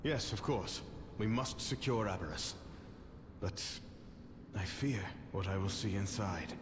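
A lively, haughty dragon prince. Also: male voice, fairly low pitch, unhurried, in a large hall, background noise. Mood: wry, guarded. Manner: haughty, theatrical, wry. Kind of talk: video game character acting.

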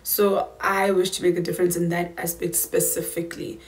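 A woman's voice: on the low side, little pitch movement, a little fast. A twenty-year-old woman with a South African accent, chatting emphatically to camera in a casual Q&A vlog from a small room. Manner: chatty, emphatic, slangy asides. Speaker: YouTuber.

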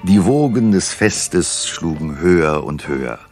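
An actor as the storyteller, giving scripted narration in a 1960s German radio play. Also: male voice, sits low, lively intonation, unhurried, a little mellow, soft background music. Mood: measured storytelling. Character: storyteller narrating a pastoral feast.

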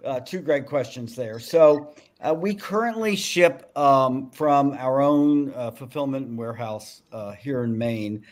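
Older man pitching a farm business. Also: unhurried, hardly any pauses. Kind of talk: business pitch and question answering. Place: home room with bookshelves. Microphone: video call.

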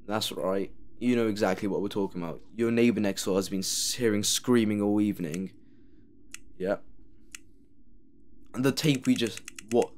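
A man's voice: on the low side, moderate pace, pauses often, a little mellow. A man commenting sarcastically while he plays a horror game. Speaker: gamer playing a horror game. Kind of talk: let's play commentary.

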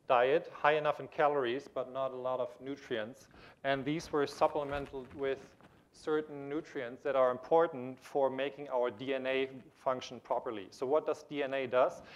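A man in his thirties giving a conference keynote lecture in a large auditorium on a lapel mic, explaining with frequent restarts and fillers. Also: medium pitch. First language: German. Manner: gestures while pacing the stage. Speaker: keynote speaker. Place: large auditorium stage with audience.

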